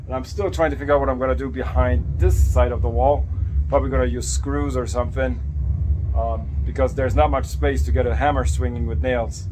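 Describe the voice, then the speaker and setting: A man in his thirties with a raspy voice from coughing, chatting casually to a phone camera held at arm's length in a cluttered indoor workshop. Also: mid-range voice, fairly even intonation, brisk, noisy background. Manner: chatty, explaining as he points around. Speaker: farm vlogger.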